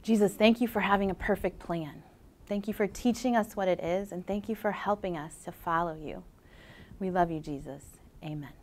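A middle-aged woman teaching a children's church lesson on a lapel mic, earnest and encouraging, then praying softly. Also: medium pitch, moderate pace. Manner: earnest, gentle and encouraging, softening into prayer. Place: indoor studio with a cartoon bedroom backdrop.

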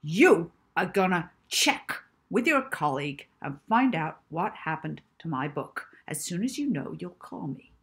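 Lady doing a loud bullying professor guy from a storybook, husky n forceful, demanding everything. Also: sing-song, a little slow, exaggerated voice. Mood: bossy, menacing. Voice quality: booming. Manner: ranting, aggressive, demanding. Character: belligerent, bullying, ugly middle-aged male professor, booming.

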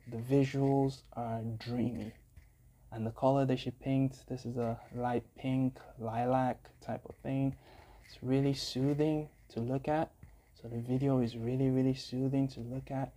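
A man's voice: medium pitch, mellow. A young man chatting casually as he reacts to a song, upbeat and full of fillers, recorded close up on an earphone mic in a small room. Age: young adult. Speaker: music reaction vlogger. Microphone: earphone mic, phone camera close up.

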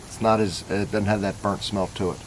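A man with a fairly low voice gives a laid-back coffee review outside in his yard. He rambles easily, thinking out loud between sips. Faint outdoor ambience sits behind him. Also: fairly even intonation, a little fast, slight background noise, poor audio. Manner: easygoing, rambling, thinks aloud. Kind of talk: casual vlog review. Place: backyard outdoors.

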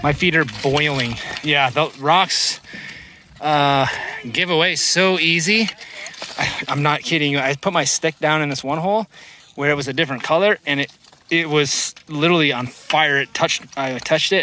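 A man in his thirties, excitedly narrating a family vlog to a handheld camera outdoors on a volcano. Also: on the high side, moderate pace. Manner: excited, exclaiming, explaining to camera. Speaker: family vlogger. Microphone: handheld camera, selfie framing. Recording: loud wind and outdoor noise.

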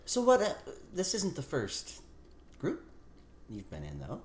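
A man co-hosts a loose chat at a kitchen table, jumping in with quick questions. His pitch swings widely. The kitchen is echoey. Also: wildly swooping pitch. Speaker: co-host. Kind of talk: casual chat. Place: home kitchen, indoors.

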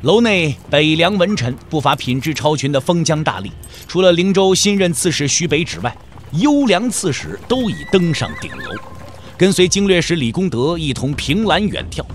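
A man's voice: fairly high pitch, big pitch swings, normal speed, slight background noise. A man narrating a Chinese martial-arts audio drama in a scripted, dramatic storytelling style. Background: music.

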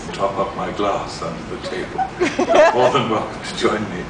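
Man doing a low, sultry woman. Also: wildly swooping pitch, moderate pace. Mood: suggestive. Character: sultry, suggestive married woman shopkeeper. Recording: echoey theatre, distant camera mic, loud noise.

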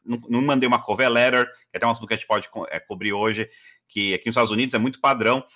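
A man's voice: mid-range voice, steady tone, rapid-fire, bright, forceful tone. A man, telling his story as a guest on a video call, chatty with many fillers. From Brazil. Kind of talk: casual interview storytelling. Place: living room at home.

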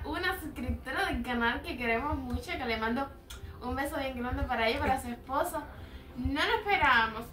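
A young woman in her twenties with a Cuban Spanish accent, chatting excitedly as she shows things to her vlog viewers on a phone camera at home. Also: high-pitched. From Cuba. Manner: chatty and excitable, quick exclamations. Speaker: vlogger. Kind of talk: casual show-and-tell vlog. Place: small home dining room, indoors.

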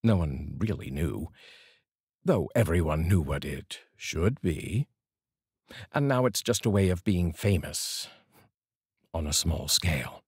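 A middle-aged man with a husky voice, speaking in measured, deliberate phrases like a poetry reader, close to a studio microphone. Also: sits low, lively intonation, no room sound. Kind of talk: poetry reading with casual introduction. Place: small dark indoor studio. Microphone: large studio microphone, close.

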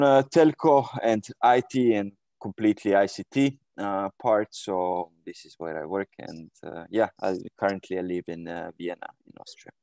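A man from Croatia in his thirties speaks over a video call from a room at home. His voice is deep with a gravelly edge, and the sound is dry and close. He talks in a hesitant way, full of fillers and restarts. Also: warm tone, no room sound. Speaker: guest. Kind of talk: casual interview answers.

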